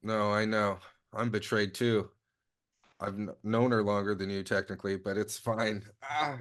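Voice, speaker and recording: A man's voice: on the low side, steady tone, normal speed, fairly bright tone, lightly acted. A man reading the part of a wry, profane action-hero sidekick in a comedy table read. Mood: dying, earnest.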